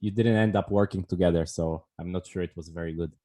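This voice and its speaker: A man's voice: sits low, steady tone, fast, mellow. A man hosting a podcast, casual and joking, who teases his guest and swears freely. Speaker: podcast host.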